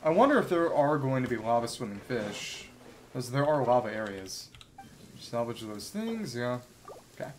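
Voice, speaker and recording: A man's voice: mid-range voice, big pitch swings, normal speed, slight background noise. A man giving casual live commentary as a video game streamer, rambling and thinking aloud as he plays. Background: video game music.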